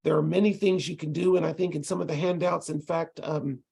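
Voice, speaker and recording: A middle-aged man with a Texas accent, giving a relaxed, conversational lecture over a video call with frequent ums and restarts. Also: on the high side, brisk, gravelly, slightly rough. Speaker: ESL instructor presenting to tutors.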